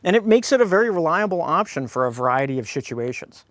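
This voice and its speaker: A man's voice: fairly high pitch, very animated, fast talker, a bit bright, no echo. Casual man reviewing gear, dry close sound. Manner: folksy, direct address to viewers. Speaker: host of a radio gear review channel. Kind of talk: informal product review to camera.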